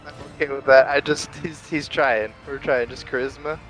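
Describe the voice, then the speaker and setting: A man playing a tabletop game with friends, chatting and roleplaying casually in a halting, stammering way. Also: medium pitch, fairly even intonation, fairly bright tone, soft background music, poor audio.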